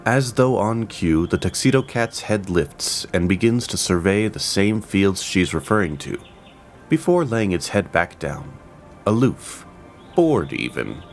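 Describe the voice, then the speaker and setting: A male storyteller narrating a fantasy audio drama. His voice is deep, and his pitch rises and falls widely as he builds dramatic pauses. Soft music runs faintly under the narration. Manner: expressive, dramatic pacing.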